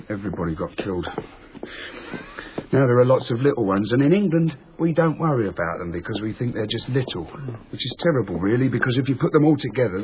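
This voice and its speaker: A tinny, slightly noisy radio drama recording of an earnest, simple working man played by a male actor. He rambles along in a middle-pitched voice, explaining things naively and matter-of-factly, as natural conversation. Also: close to an everyday voice. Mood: matter-of-fact musing.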